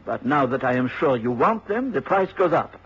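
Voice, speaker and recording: An actor playing a suave, menacing blackmailer in a radio drama, with a husky voice and a smooth, insinuating, formal manner. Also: male voice, mid-range voice, very animated, moderate pace, fairly bright tone, heavily acted. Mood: smooth menace. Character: suave, menacing French blackmailer, tall dark man. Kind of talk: British radio drama acting. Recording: dull old radio drama recording.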